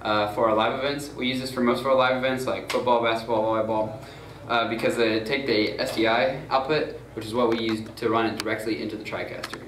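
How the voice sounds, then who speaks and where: A man's voice: mid-range voice, little pitch movement, a little fast, hardly any pauses, a bit bright. A young man giving a casual how-to demonstration on camera setup, talking loosely with lots of fillers. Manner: loose and informal, many fillers and "gonna go ahead". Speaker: student crew tutorial presenter. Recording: roomy, far from mic, noisy.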